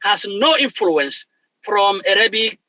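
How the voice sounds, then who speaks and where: High bright guy, explains dialects, dry close sound. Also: dry recording, rough recording. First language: Somali. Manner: animated, halting non-native phrasing. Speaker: guest language instructor. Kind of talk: explanatory conversation.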